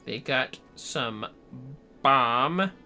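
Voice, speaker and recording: Male game streamer, bright forceful voice, casual chat with theatrical flair and cursing, background music. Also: medium pitch, lively intonation, very slow. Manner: sweary, playfully theatrical. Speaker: livestreaming gamer. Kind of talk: casual livestream gaming chat.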